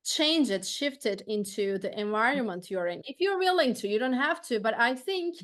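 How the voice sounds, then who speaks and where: A woman with a Turkish accent hosts a casual podcast chat, her voice sitting a little high. She speaks earnestly and thoughtfully, often circling back and restarting her sentences. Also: lively intonation, normal speed, fairly bright tone. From Turkey. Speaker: podcast host.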